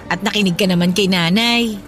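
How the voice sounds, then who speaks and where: A woman playing a caring, supportive young wife in a radio drama, speaking gently and conversationally. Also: mid-range voice, a little slow, close to an everyday voice. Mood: affectionate, teasing. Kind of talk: Tagalog radio drama dialogue.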